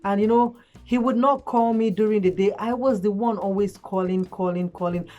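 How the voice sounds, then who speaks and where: A woman giving relationship advice in a casual, earnest vlog chat, her voice hoarse from a cold. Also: medium pitch, moderate pace, mellow, highs cut off. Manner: chatty, earnest, frequent fillers. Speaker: relationship vlogger. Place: at home late at night.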